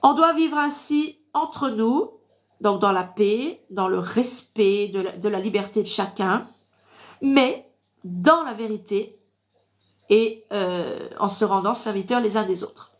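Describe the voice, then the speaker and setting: A woman with a congested, blocked-nose voice giving informal Bible teaching on a livestream, didactic and repetitive, punctuating points with amens. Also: a little high. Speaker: online preacher. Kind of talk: informal live Bible teaching with verse reading.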